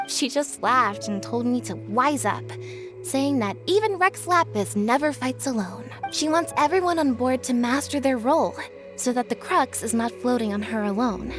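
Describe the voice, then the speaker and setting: A woman's voice: fairly high pitch, lightly acted. A woman voicing a polite, earnest female sailor who is loyal to her captain, in scripted video game dialogue with music behind.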